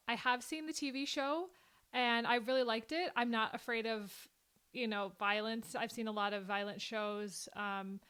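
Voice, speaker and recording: A woman's voice: on the high side, pushed and bright, smooth. A woman in her late twenties from Minnesota with a light Minnesotan accent, chatting upbeat and casual as she comments on a film. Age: late 20s. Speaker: vlogger reacting to a film.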